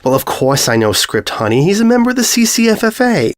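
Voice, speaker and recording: A man's voice: high voice, very animated, fairly quick, no echo, pitched above the performer's own voice. A man voicing a cheerful, teasing middle-aged pony mother who is doting, blunt and effusive in a storybook reading. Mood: cheerful, chatty. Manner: effusive, coaxing, uses endearments.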